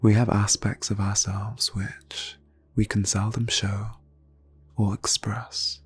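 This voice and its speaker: A man leading an ASMR guided meditation in a soft, breathy near-whisper, phrasing slowly and soothingly with long pauses. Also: fairly low pitch, little pitch movement. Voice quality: breathy, hushed.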